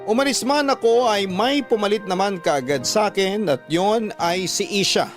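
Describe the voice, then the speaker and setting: A man's voice: sits high, moderate pace. A man reading a listener's love story aloud in first person, earnest and storytelling, for a radio drama. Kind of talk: scripted radio-drama narration. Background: music.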